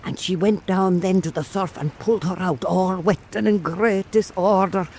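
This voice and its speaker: A man telling a folk tale as scripted narration, in a measured and dramatic manner. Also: sits high, fairly even intonation, darkish tone, a little hiss or hum. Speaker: storyteller.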